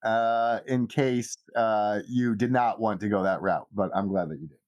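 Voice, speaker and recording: A man's voice: lowish voice, normal speed, a little mellow, highs cut off. A man speaking as game master of a tabletop role-playing show, in casual narration.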